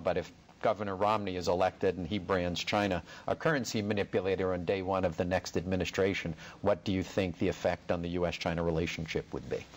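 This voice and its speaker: Older man reading questions, fairly low voice. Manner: reads names and places before each question. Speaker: moderator reading audience questions. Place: indoor studio before a backdrop.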